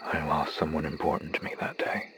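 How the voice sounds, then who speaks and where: A man acts out a grief-worn operative in a horror animation. His voice is extremely deep and barely moves in pitch. He speaks in short, subdued phrases, with faint night noise behind him. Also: a little fast. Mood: somber. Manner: terse, subdued, flat delivery. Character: somber, grief-worn male military operative. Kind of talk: analog horror animation acting.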